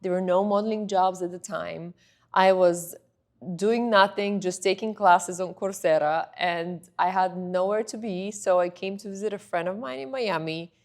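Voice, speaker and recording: A woman's voice: medium pitch, normal speed. Chatty woman guest. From Bulgaria. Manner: chatty, rambling storytelling. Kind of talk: interview.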